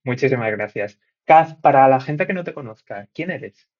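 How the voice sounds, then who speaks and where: A man hosting a podcast interview, lively and lightly joking, stumbling a little now and then. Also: mid-range voice, normal speed. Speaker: podcast host. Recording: dull lo-fi audio.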